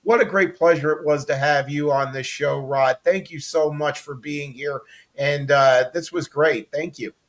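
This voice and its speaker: A man's voice: a little high, unhurried. An American man hosting a casual conversational interview show, effusive and appreciative toward his guest.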